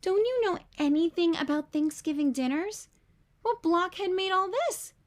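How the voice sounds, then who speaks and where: Bossy storybook girl, played by a woman, high-pitched, blunt, demanding and indignant. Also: lively intonation, normal speed.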